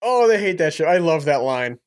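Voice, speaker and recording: An enthusiastic young man with a fairly high voice talks loosely about a film, peppering his talk with "like." The sound is dry and close. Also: sing-song, a little fast, clear, resonant tone, no echo. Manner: enthusiastic, easygoing, says "like" a lot. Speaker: podcast co-host. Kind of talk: casual movie commentary chat.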